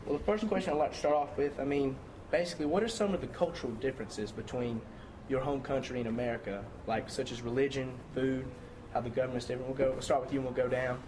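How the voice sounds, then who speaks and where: A young man runs a student interview and keeps the questions moving at a brisk clip. His voice sits in a middle register. Steady background noise runs under his speech. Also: mid-range voice.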